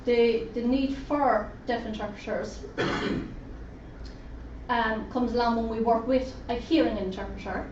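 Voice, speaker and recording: Woman, interpreter voice, fairly high, distant dull recording with faint hiss. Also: steady tone, slow pace, a little mellow. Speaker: sign language interpreter voicing a deaf presenter. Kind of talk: first-person interpreted presentation. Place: conference room at a roundtable event. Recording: roomy, distant, dull lo-fi sound with faint noise.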